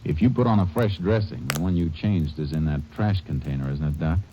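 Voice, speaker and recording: An actor playing a terse, level-headed Texas Ranger, who also narrates, in a vintage radio drama. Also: male voice, rumbling low, fairly quick, a little hiss or hum. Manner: terse, clipped, no-nonsense. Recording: dull old radio recording with music.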